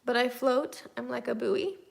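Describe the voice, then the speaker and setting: A woman's voice: fairly high pitch, normal speed, gravelly. A woman in her thirties chatting easygoingly on a livestream, reading out and replying to viewer comments. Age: 30s. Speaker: livestream host. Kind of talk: casual live chat answering viewer comments.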